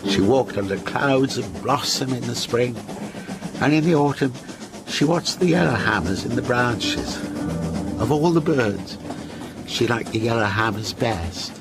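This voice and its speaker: Radio drama: genial yet unsettling male storyteller, animated intonation, teasing and deliberate, noisy train background. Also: medium pitch. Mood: wistful storytelling. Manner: measured, coy, teasing. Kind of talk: radio drama acting, telling a ghost story.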